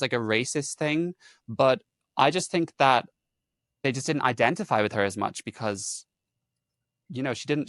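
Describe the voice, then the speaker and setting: Young male podcast host, slightly low voice, wry asides, dry close sound. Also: clear, resonant tone, no echo. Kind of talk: casual conversation.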